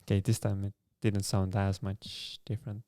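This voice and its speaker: A man's voice: lowish voice, level tone, moderate pace, warm tone, no room sound, studio quality. A young man as a podcast guest in casual chat, easygoing and a little hesitant, often laughing between words. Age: young adult.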